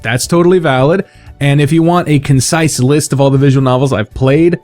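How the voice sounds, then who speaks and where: A man's voice: a little high, fairly even intonation, a little fast, a little mellow, soft background music. A man hosting a video in a casual, unscripted monologue, rambling, swearing freely and putting himself down. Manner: sweary, self-deprecating, rambling. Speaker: video host announcing fan awards.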